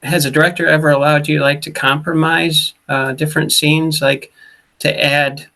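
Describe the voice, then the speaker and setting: Bright-toned, hesitant interview host. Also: mid-range voice, little pitch movement, normal speed, vocal fry, poor audio. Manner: hesitant, many "um" and restarts. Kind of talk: casual interview questions.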